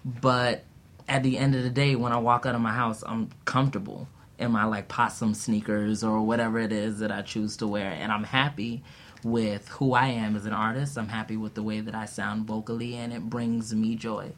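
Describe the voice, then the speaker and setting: A man's voice: on the low side, little pitch movement, normal speed, fairly bright tone. A man speaking earnestly and reflectively as a guest in a casual panel discussion, with noise behind him.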